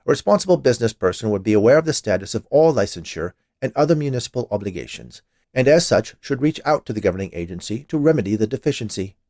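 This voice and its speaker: A man's voice: medium pitch, expressive, quick pace, darkish tone, no room sound, studio quality, natural voice. A man reading a story, voicing an officious, stubborn male health inspector with a curt, pedantic manner.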